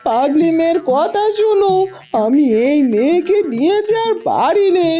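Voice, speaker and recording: A woman's voice: extremely high pitch, sing-song, normal speed, dark tone. A woman voicing an exasperated village mother in a Bengali cartoon, fussing and admonishing as she speaks. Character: exasperated, scolding village mother of a grown daughter. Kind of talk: Bengali cartoon fairy-tale voice acting.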